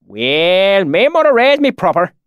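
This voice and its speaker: A man's voice: sits high, very animated, normal speed, dry recording, muffled recording, voice pushed up. A weathered mountain swineherd, put on by a man, talking in a thick, oily backwoods dialect. The voice sits well above the reader's own and sounds strained and set apart from him. Dry, close recording. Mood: friendly.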